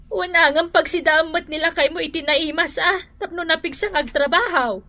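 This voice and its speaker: A woman's voice: very high voice, moderate pace, pushed and bright, heavily acted. An actress playing a nosy, opinionated older woman who comments on the family's meals.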